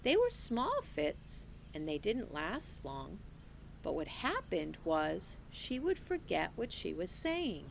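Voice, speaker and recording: A woman teacher reading a children's novel aloud in an expressive, unhurried storytelling manner. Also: lowish voice, vocal fry, a little hiss or hum. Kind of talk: children's book read-aloud.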